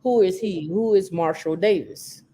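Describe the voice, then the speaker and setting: Deep-voiced woman hosting a podcast. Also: moderate pace, mellow. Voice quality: naturally deep voice. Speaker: podcast host. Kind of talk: casual chat.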